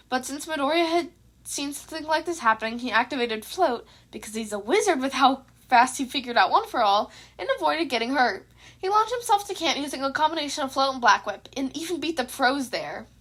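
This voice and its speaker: A woman's voice: sits high, a bit bright. A woman reading a scripted piece of fan fiction aloud as a narrator. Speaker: podfic narrator.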